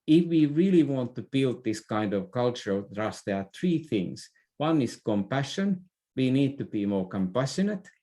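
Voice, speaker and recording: A man's voice: mid-range voice, very animated, moderate pace, mellow. A middle-aged man gives advice in a conversational interview, often hesitating and repeating words. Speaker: podcast guest, business expert.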